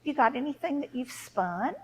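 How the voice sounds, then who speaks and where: A commanding storybook queen, put on by a woman storyteller. She speaks in a high, measured voice, composed as she questions. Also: expressive, husky, poor audio.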